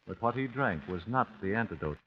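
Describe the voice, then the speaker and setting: An actor as a grim, deliberate avenging father, muffled old radio. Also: on the low side, expressive, close to an everyday voice. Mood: grim, composed. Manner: deliberate, solemn, formal. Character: grim, vengeful wealthy father, coolly judging his suspects. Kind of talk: 1940s radio drama acting. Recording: muffled, hissy 1940s radio recording.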